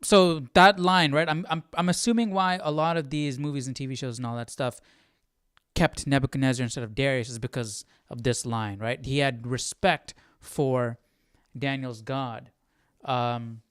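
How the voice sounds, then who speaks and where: A man hosting a live Bible study with casual, animated commentary, thinking aloud in halting bursts. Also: medium pitch, clear, resonant tone, no echo, studio quality.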